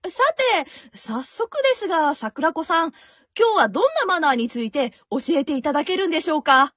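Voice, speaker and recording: A polite, upbeat show assistant with a high, bright voice that jumps around, played by a woman. The recording is dry and dull, like a lo-fi home-made radio drama. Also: moderate pace, no room sound. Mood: curious. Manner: polite, lively reactions. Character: polite female show assistant, straight man.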